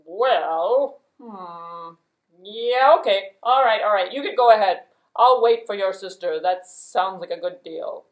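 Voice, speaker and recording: Woman as a loud, hungry bridge troll. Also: roomy sound, lightly acted, rough recording. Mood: relenting. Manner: loud, booming, drawn-out threats. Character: big ugly hungry male bridge troll.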